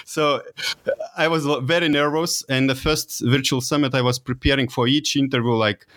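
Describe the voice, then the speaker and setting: A man's voice: mid-range voice, steady tone, moderate pace, a bit bright. A man from Lithuania speaking English as a second language with a Lithuanian accent, a guest telling his story in a casual interview, hesitant with many fillers and restarts. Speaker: guest, virtual summit organizer.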